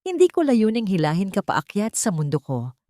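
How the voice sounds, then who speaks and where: A woman voicing an earnest male company chief in an audio drama, solemn, deliberate and heartfelt. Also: medium pitch, wildly swooping pitch, mellow, dry recording, studio quality, pitched above the performer's own voice. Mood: serious, tender.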